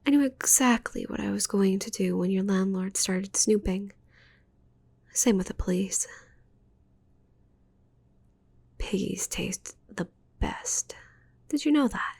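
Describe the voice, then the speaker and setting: A woman's voice: mid-range voice, gravelly, a little mellow, husky. A woman playing a possessive, doting yandere witch in an ASMR roleplay, whispering breathily and intimately with sultry, gloating teasing. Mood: gloating, hushed. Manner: slow, intimate, teasing delivery.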